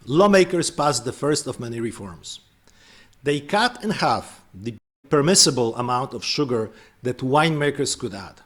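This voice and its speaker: A man hosting and narrating a scripted explainer, breaking off into hesitant, filler-heavy asides. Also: medium pitch. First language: not French. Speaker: host.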